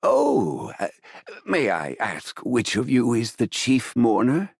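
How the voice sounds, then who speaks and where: A man playing a brash, teasing, world-weary funeral agency boss in an animated game, with a gravelly voice and a theatrical manner. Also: mid-range voice, slow pace, dry recording, clean studio sound, full character voice. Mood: surprised, courteous.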